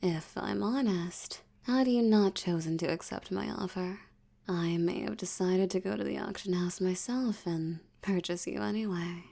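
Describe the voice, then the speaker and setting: A woman playing a possessive, seductive vampire in an intimate audio roleplay, teasing and commanding, with a breathy voice that often drops toward a whisper. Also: medium pitch, dark tone. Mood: smug, possessive. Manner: slow, teasing, commanding, condescending. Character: dominant, possessive, seductive wealthy female vampire.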